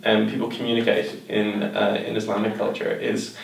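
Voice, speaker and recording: Young irish man, gravelly voice, hesitant and thoughtful, echoey room, far from mic. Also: on the low side, completely flat delivery, normal speed, slight background noise. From Ireland. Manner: thoughtful, hesitant with many fillers. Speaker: guest. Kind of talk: casual interview chat.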